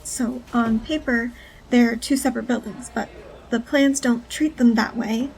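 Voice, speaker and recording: An actress playing a hunted, earnest female whistleblower in an audio drama, speaking haltingly. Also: on the high side, steady tone, gravelly, a little mellow, soft background music, low-quality recording. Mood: tense, explaining.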